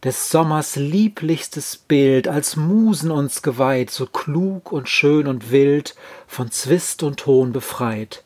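A man reciting verse as a poem reader, in a measured and solemn manner. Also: on the high side, darkish tone. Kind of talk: poetry recitation.

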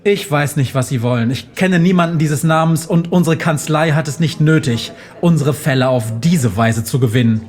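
Man playing a high-voiced haughty opposing lawyer. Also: normal speed, soft background music, close to an everyday voice. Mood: indignant, haughty. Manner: formal, haughty. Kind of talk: audio drama acting.

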